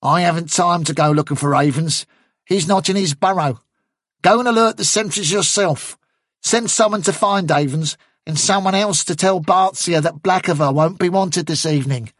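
A man's voice: sits high, moderate pace, fairly bright tone, no room sound, studio quality, voice pushed up. A man voicing a bossy male rabbit captain in an audiobook, giving clipped military orders. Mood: brisk, commanding. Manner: clipped officer's commands.

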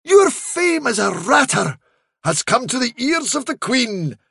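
A wily storybook trickster posing as a noble fairy messenger dog. The voice climbs high and swoops grandly, stretching words slowly. Every phrase is lofty, theatrical flattery. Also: male voice, creaky, slightly rough, no echo, studio quality, exaggerated voice. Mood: regal, flattering. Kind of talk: dramatic storybook reading.

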